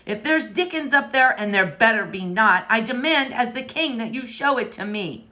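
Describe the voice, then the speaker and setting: A pompous, fussy storybook king played by a woman, theatrical and quick to scold. The pitch leaps up and down with every emphatic phrase, and the tone is bright and forceful. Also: expressive. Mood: indignant. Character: pompous, fussy, indignant middle-aged king and father. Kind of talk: expressive children's storybook read-aloud.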